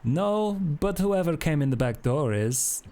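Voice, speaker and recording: A man's voice: on the high side, animated, a little slow, dark tone, voice pushed up. A man voicing an exasperated, threatening man who guards a house, in a curt manner. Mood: exasperated, menacing.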